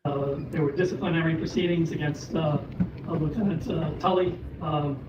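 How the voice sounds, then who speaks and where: Hoarse man arguing in an echoey courtroom. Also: fairly high pitch, fairly even intonation, muffled recording, noisy background. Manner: measured, many hesitations and throat clearing. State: losing his voice. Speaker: special prosecutor arguing in court. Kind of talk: formal courtroom argument. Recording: roomy courtroom audio from a distant mic.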